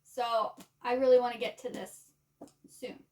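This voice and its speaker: A woman with a noticeably high voice presents books in an informal vlog style. Her phrasing is conversational and unpolished. The microphone sits some distance from her, so the voice sounds slightly removed. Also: little pitch movement, measured pace, a little mellow. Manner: informal, frequent "like" as a filler. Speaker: book vlogger. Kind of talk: casual book chat to camera with some reading aloud of blurbs.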